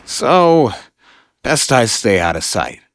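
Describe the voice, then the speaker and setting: A man's voice: medium pitch, sing-song, slow, dry recording, voice pushed up. A man playing a cocky, wisecracking young superhero in an audio comic, with a quippy manner. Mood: wary musing. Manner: quippy, teasing. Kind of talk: audio comic hero acting.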